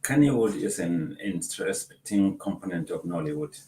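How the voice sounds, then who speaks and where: A man speaking as a guest on a talk show, his voice on the low side. He explains in a measured, deliberate way with hesitant pauses, and the room around him sounds echoey. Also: animated, noisy background. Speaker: film producer and festival organizer, guest. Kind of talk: interview answers.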